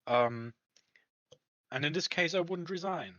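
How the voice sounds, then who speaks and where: A man in casual conversation, speaking hesitantly and haltingly as he searches for words. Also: fairly high pitch, animated, sharp tone. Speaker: employee talking about a work contract. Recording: muffled lo-fi, echoey room.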